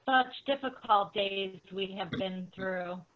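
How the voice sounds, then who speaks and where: A woman's voice: medium pitch, slow pace, slight background noise, natural voice. A woman playing a grateful, devoted follower in an amateur dramatic reading, giving earnest testimony over a tinny video call. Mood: somber.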